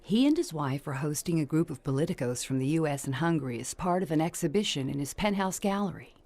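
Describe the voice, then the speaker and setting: A brassy middle-aged gal pal, old noir radio, slangy and coaxing, lowish voice that swings around. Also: female voice, lively intonation, fast, darkish tone. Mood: persuasive. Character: Julie, worldly older female ex-performer, brassy, motherly pal. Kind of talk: 1950s-style noir radio drama acting.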